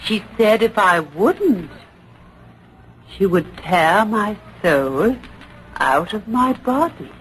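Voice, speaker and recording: A haunted matron on the witness stand in an old radio play. She speaks slowly and fearfully, her pitch moving widely, with faint noise on the recording. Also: lively intonation, fairly bright tone, low-quality recording. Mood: haunted, intense. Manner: slow, dramatic, halting testimony. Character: fearful, haunted matron testifying against a witch. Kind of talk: old radio drama acting.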